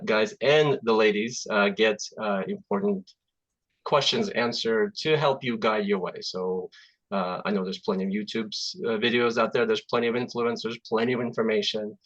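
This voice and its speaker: Guy in his 40s, russian first language, lowish voice, chatty over a muffled zoom call, lots of ums. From Azerbaijan. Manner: relaxed, chatty, many fillers and restarts. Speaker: guest speaker, software engineer. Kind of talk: presentation over video call. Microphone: computer mic on video call. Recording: lo-fi video call audio.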